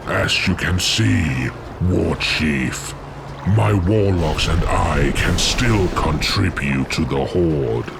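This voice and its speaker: Scheming orc warlock for a fantasy game, very slow and low, oily false deference, noisy ambient track. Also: male voice, heavily acted. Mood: obedient, sly. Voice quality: gravelly. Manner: slow, deliberate, feigned servility. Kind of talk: fantasy game cutscene acting.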